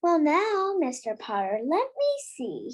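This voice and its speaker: A child voicing an eccentric old wandmaker from a storybook, precise, formal and stern, with halting delivery. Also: high-pitched, huge pitch swings, exaggerated voice. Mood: absorbed, exacting.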